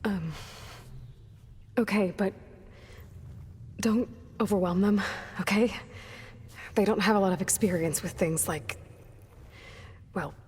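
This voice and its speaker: A woman playing a guarded, determined young adventurer in a video game, with a halting, understated delivery. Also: mid-range voice, a little mellow, a little hiss or hum, close to an everyday voice. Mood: uneasy, hesitant. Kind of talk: video game cutscene acting.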